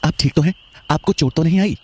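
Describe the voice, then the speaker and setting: A man voicing a brave, calm fourteen-year-old boy in a historical story, polite and reassuring. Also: on the high side, quick pace, breathy edge, pitched above the performer's own voice. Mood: concerned.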